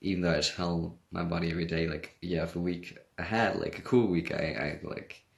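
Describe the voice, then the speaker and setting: A man's voice: sits low, steady tone, brisk, vocal fry. A tired young man with a German accent, chatting casually to viewers on a livestream, rambling and correcting himself and laughing often. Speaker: livestream host. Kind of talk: casual livestream chat reading comments. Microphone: phone.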